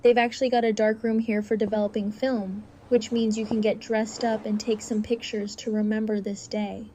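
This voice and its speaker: A woman's voice: mid-range voice, little pitch movement, normal speed, dark tone, a little hiss or hum, close to an everyday voice. A woman playing a bubbly, eager young woman in an audio drama. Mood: excited. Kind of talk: fan audio drama acting.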